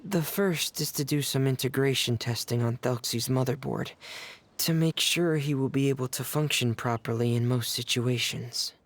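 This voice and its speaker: A man playing a shy, earnest, soft-spoken teenage boy mechanic in a video game, reserved and polite. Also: medium pitch, normal speed, close to an everyday voice. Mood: calm, helpful.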